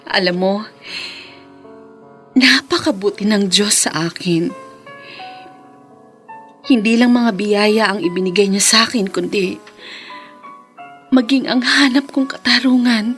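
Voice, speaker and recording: An actress with a Filipino accent playing a grateful, softened widow in an earnest, emotional radio drama style. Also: female voice, mid-range voice, slow, long pauses, soft background music. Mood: tender, hopeful. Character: reformed vengeful widow, grateful, softened, guarded in love.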